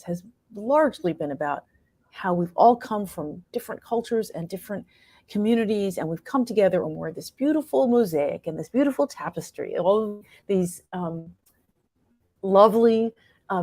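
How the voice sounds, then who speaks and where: An American woman speaking reflectively as an interview guest, thoughtful and halting as she searches for her words. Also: lowish voice, mellow, no room sound. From New York state, United States. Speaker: interview guest, playwright and performer.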